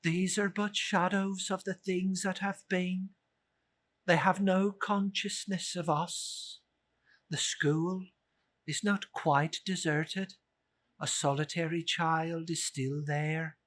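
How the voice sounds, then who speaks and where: A narrator voicing a solemn, gentle spirit guide in a measured, grave manner for a storybook reading. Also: mid-range voice, steady tone.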